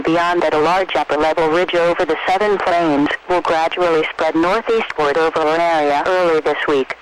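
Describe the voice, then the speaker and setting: A man's voice: fairly high pitch, a bit bright. A synthetic-sounding man reads formal weather reports impersonally as an automated announcer heard over radio. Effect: radio broadcast reception. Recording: faintly noisy weather-band radio reception.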